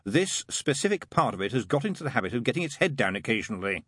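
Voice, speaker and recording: Audiobook demon, played by a man: jaded, sarcastic, offhand drawl, husky tone, highly animated intonation. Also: fast talker, no room sound, clean studio sound. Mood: bitter, weary. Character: sardonic, worldly, world-weary male demon.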